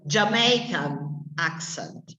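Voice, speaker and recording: A woman's voice: medium pitch, lively intonation, very slow, bright, forceful tone, roomy sound, rough recording. A woman teaching English to adult learners, stopping often to search for words mid-sentence. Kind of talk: classroom explanation to adult learners.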